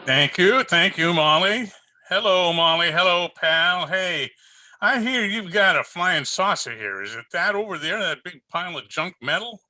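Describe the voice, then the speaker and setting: A man's voice: lively intonation, normal speed, sharp tone, heavily acted. A man playing a relentlessly cheerful, slick salesman in an old-time radio comedy. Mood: hearty. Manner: hearty, slick sales pitch. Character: relentlessly cheerful, enthusiastic floor wax salesman.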